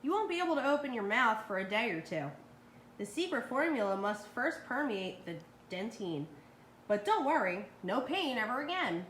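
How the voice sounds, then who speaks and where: A woman's voice: fairly high pitch, big pitch swings, normal speed, fairly bright tone. A woman voicing a firm, wary male dentist from a children's storybook, matter-of-fact and emphatic. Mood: feigned apology. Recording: roomy, echoey with background noise.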